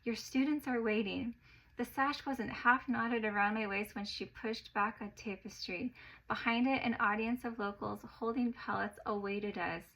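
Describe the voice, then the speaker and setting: A woman narrating a personal story in an expressive way, getting louder at the exciting parts. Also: medium pitch, muffled recording.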